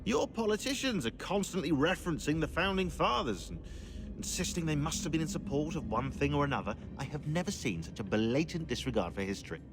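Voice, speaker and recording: An actor playing a sardonic, opinionated historian in a video game, speaking in a dry, indignant, emphatic way. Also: male voice, a little high, very animated, a little fast, poor audio. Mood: scornful, indignant. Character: sardonic, opinionated historian ally, scornful of politicians. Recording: music behind the voice.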